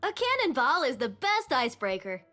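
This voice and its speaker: A woman's voice: high-pitched, huge pitch swings, normal speed, sharp tone, soft background music, full character voice. A woman voicing a small, feisty, cheerful female gunner creature in a video game, firing off cocky, punchy battle quips. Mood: cocky, playful. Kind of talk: video game character voice lines.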